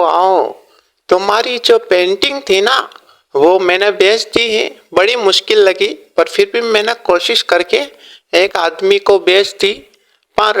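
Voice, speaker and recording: A man's voice: high-pitched, measured pace, gravelly, fairly bright tone, voice pushed up, full character voice. A man voicing a greedy, sly merchant in a cartoon story, wheedling and exclaiming in a folksy way.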